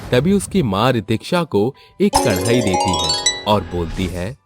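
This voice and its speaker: A man's voice: on the low side, very animated, a little slow. A man narrating a scripted moral story for children. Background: background music.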